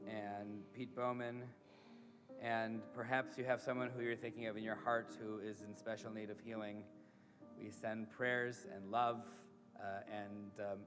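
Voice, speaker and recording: A man leading a synagogue service as rabbi, gentle and halting, often repeating his words. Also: on the low side. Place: synagogue sanctuary. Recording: reverberant sanctuary with music.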